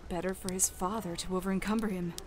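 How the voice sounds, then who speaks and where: A woman's voice: fairly low pitch, fairly even intonation, fast, background noise, close to an everyday voice. An actress playing a fiery young elf woman in a fantasy audio drama, with a pointed, challenging manner. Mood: frustrated. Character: fiery, defiant young elf woman burdened by unwanted power.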